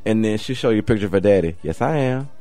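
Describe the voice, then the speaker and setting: A man hosting a talk show, asking questions and telling a story in a lively way that rises with emphasis. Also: on the low side, fast talker, dry recording, soft background music. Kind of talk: interview and anecdote.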